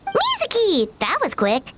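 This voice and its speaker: Very high, chirpy little fairy girl. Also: wildly swooping pitch, a little slow, background noise, exaggerated voice. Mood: curious, eager. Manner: chirpy, childlike, refers to herself by name. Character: tiny excitable fairy-like girl companion. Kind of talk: English game dub voice acting.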